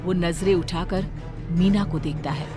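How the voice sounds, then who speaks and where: A woman's voice: lowish voice, a little slow. A woman narrating a scripted story in a dramatic storytelling style. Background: background music.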